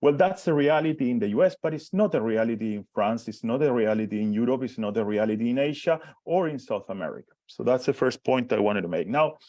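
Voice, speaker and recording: A man's voice: mid-range voice, lively intonation, fast, few pauses, darkish tone, dry recording. Man, Chilean Spanish accent, 40s, hesitant, restarts often, dry recording. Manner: hesitant, many restarts and fillers, self-described shy. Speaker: professor giving a conference talk. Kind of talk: conference presentation.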